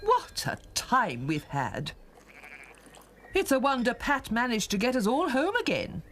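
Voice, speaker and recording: A woman's voice: a little high, huge pitch swings, measured pace, fairly bright tone, a little hiss or hum, exaggerated voice. A woman voicing a prim, particular village spinster in a children's cartoon. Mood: prim, brisk. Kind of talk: children's cartoon character acting.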